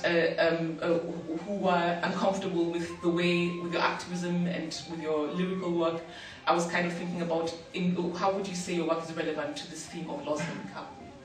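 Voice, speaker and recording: A woman moderating a panel, asking a question haltingly with many fillers and restarts. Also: lowish voice, level tone. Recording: echoey hall, far from mic.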